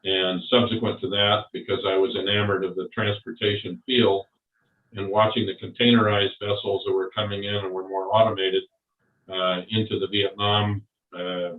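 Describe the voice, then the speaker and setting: An older man recalling his service and career in an interview, talking slowly with many pauses and fillers. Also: fairly low pitch, flat delivery. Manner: slow, halting, many fillers. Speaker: interviewee, Vietnam veteran. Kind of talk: oral history interview. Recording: far off, roomy, phone-like.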